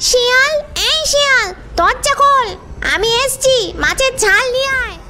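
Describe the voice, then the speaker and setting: A woman's voice: very high-pitched, lively intonation, moderate pace, very noisy. A woman voicing a menacing, greedy female ghost in a cartoon fairy tale, with threatening, taunting delivery. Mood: demanding.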